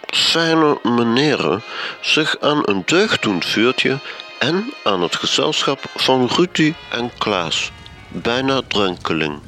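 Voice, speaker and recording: An actor playing a theatrical, measured narrator of a radio drama. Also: male voice, medium pitch, sing-song, sharp tone, soft background music, heavily acted.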